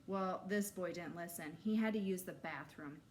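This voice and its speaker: A woman voicing a bald older man telling spooky, suspenseful camp tales with drawn-out drama in a children's storybook. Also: lowish voice, a little hiss or hum, natural voice. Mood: spooky, hushed. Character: bald older male camp storyteller, ominous yet cheery.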